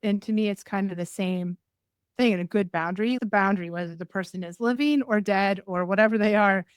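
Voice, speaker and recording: A woman's voice: mid-range voice, brisk, clean tone, dry recording, studio quality. A woman from New England, a podcast guest and healer, giving advice in short listed phrases with occasional laughs. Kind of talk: casual interview chat.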